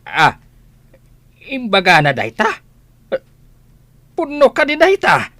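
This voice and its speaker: A woman acts out a hot-tempered, protective village father in a radio comedy drama. The voice is forceful and animated, jumping in pitch as he scolds and demands answers. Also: takes it slowly, no room sound, exaggerated voice. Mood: stern, demanding. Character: gruff, hot-tempered village father guarding his pregnant daughter.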